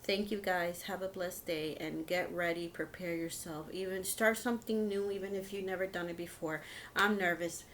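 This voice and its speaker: Low-voiced middle-aged woman, rambling chat. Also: fairly even intonation, moderate pace, hardly any pauses, fairly bright tone, slight background noise. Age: 50s. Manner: rambling, hesitant, informal. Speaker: video host of a home and shopping channel. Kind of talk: casual chat to viewers.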